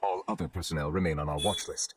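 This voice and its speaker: An actor playing a dignified, reserved judge in a video game, with formal, composed and courteous speech. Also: male voice, sits low, fairly even intonation, very fast, crowd noise, natural voice.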